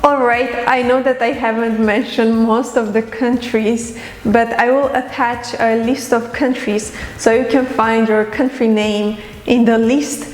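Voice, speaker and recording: A woman's voice: a little high, darkish tone, slight background noise. Woman teaching in an echoey room. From Armenia. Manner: clear, patient teaching, repeats phrases slowly. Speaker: language teacher. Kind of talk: online language lesson. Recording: echoey room with long reverb.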